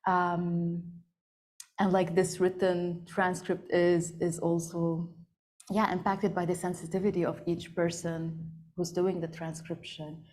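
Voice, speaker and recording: A woman's voice: fairly low pitch, level tone, measured pace, darkish tone. A woman in her thirties moderating a panel discussion in a large glass-walled room, talking hesitantly with many ums and likes into a handheld microphone. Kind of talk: panel discussion, switching between English and Arabic.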